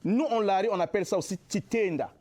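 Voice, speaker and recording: A young man from the Republic of the Congo, a musician guest answering in a TV interview, halting with many drawn-out fillers. His is Lari. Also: high voice, a little mellow. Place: local TV studio.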